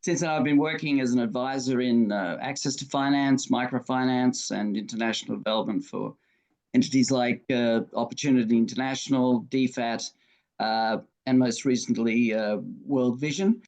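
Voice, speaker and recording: A man introduces himself on an online panel. His manner is relaxed, and he uses a few fillers. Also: medium pitch, moderate pace. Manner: casual, with fillers. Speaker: panelist, development finance advisor. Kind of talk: self-introduction.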